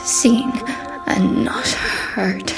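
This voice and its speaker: An actress in a radio drama playing an intense, haunted young poet, reciting haltingly and dropping toward whispers. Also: female voice, fairly high pitch, vocal fry, music in the background, heavily acted. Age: young adult. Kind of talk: performance poetry in radio drama.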